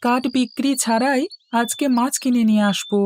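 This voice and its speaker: A woman's voice: on the high side, fairly even intonation, normal speed, darkish tone, a little hiss or hum, lightly acted. A woman voicing a humble, honest, hardworking young boy, the youngest brother in a cartoon folktale. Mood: plain, honest. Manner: meek, earnest, polite.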